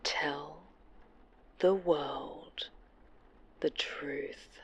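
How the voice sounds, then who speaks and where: A woman voicing an obsessive, vengeful superhero villain in a horror ASMR roleplay, sweetly menacing and breathy, often slipping into whispers. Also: deep voice, steady tone, very slow, heavily acted. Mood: tender, threatening.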